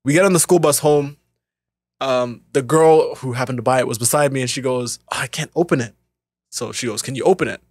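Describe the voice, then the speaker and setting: A young man, a podcast co-host, telling casual stories with animated voices for the people he quotes. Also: mid-range voice, fairly quick, husky, no echo, studio quality. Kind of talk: casual storytelling.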